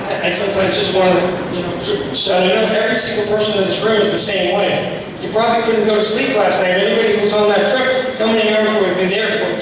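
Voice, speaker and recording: A hoarse man giving an impassioned speech at a political group meeting, rallying the room and asking it questions. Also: sits high. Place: meeting hall. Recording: echoey hall from far off, noisy.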